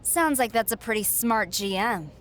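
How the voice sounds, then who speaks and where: A woman's voice: medium pitch, animated, moderate pace, fairly bright tone, slight background noise, natural voice. A woman playing a cocky, sarcastic champion wrestler with a snide, self-assured manner in a video game cutscene. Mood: sardonic. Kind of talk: scripted game cutscene dialogue.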